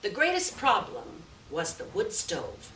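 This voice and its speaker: A woman reading a literary short story aloud at a live event, with measured, deliberate phrasing and stressed words. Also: mid-range voice, huge pitch swings, sharp tone. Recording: distant roomy live recording, faint noise.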